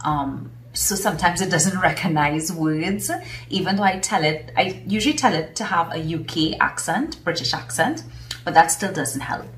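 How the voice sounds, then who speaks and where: A woman's voice: lowish voice, normal speed, slight background noise. Trinidadian woman, chatty, in an echoey room. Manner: enthusiastic, chatty, many fillers. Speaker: vlogger and business coach. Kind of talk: casual talk to camera. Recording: roomy echo, mic at a distance.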